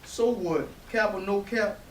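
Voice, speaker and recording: A man's voice: high voice, unhurried, fairly bright tone, rough recording. A young man playing a brash, swaggering older brother in a staged play reading. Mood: cocky, playful. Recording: faint hiss, live room recording.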